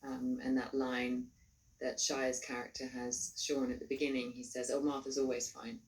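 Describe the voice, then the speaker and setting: A woman's voice: very deep voice, level tone, moderate pace, a little mellow. A woman actress answering thoughtfully in a panel Q&A over a video call. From England. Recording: distant video call audio.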